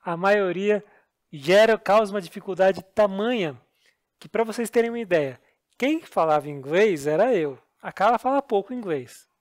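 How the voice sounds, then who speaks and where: Brazilian man, podcast co-host, high-pitched, earnest self-deprecating storyteller. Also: expressive, normal speed. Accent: Brazilian Portuguese. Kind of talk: casual storytelling chat.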